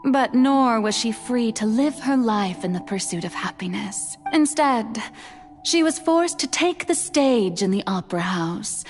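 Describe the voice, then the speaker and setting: Theatrical water goddess, music behind. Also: female voice, a little high, expressive, a little slow. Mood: solemn, dramatic. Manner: theatrical, grandiloquent, refined diction. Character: elegant, self-assured hydro goddess, playful yet wistful. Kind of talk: video game character monologue. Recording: background music under studio voice.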